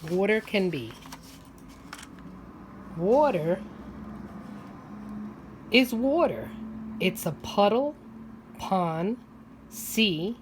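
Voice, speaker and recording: A woman's voice: medium pitch, animated, drawn-out words, long pauses, noisy background. A woman preschool teacher reading a picture book aloud to young children, explaining simply, asking questions and drawing out key words.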